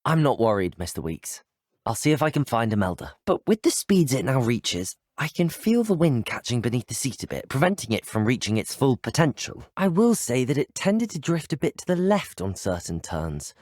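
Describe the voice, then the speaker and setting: A man's voice: mid-range voice, sing-song, normal speed, darkish tone, dry recording, studio quality. A young man with a British accent playing an earnest, courteous student wizard in a video game. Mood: polite, curious. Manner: courteous, well-spoken, sincere.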